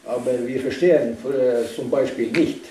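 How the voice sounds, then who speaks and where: A man interpreting for a tour group, halting and hesitant, filling pauses as he searches for words. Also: medium pitch, dark tone. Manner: hesitant, many fillers and restarts. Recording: echoey room from far off with faint noise.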